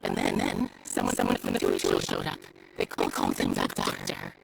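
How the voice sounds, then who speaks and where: A stammering, croaky frightened researcher. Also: female voice, a bass voice, monotone, heavy background noise, full character voice. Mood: nervous, halting. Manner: stammering, halting. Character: frightened academic researcher confessing under threat. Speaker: game character actor. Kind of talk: video game cutscene acting.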